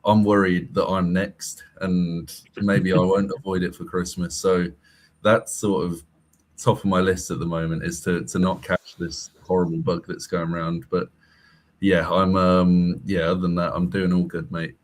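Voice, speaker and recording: Deep, flat-voiced man from Birmingham. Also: moderate pace. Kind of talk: casual chat.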